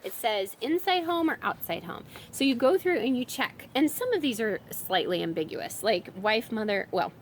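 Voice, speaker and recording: A woman in her thirties talks through a homeschool planning sheet in a loose, friendly vlog ramble. Her voice is a little worn from a summer cold. A faint low rumble sits under the recording. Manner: chatty and rambling, many fillers and restarts. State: has a summer cold, voice a bit strained. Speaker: homeschooling mom vlogger. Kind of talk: casual explanatory vlog.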